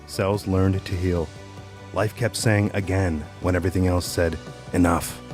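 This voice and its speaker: A quiet, philosophical young man in an audio drama, acted by a man. His voice is low, measured and earnest with a melancholy streak, over background music. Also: fairly even intonation. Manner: measured, reflective phrasing.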